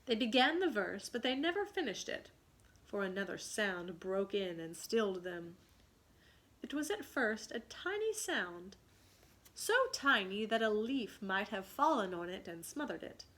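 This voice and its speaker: A woman teacher reading a children's story aloud with dramatic expression and pausing to ask questions. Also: mid-range voice, big pitch swings, fairly bright tone.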